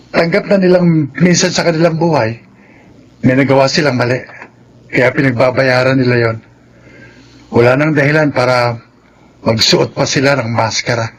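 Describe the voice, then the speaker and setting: An actor playing a gentle, remorseful ex-convict older brother in a Filipino radio drama. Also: male voice, medium pitch, close to an everyday voice.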